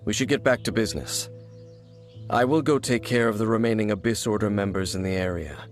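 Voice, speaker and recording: An actor playing an aloof, brooding warrior in a video game, speaking in a grave, measured, guarded way over music. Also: male voice, lowish voice, steady tone, close to an everyday voice. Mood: businesslike. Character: brooding, aloof male warrior with ancient grudges. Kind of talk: video game dramatic acting.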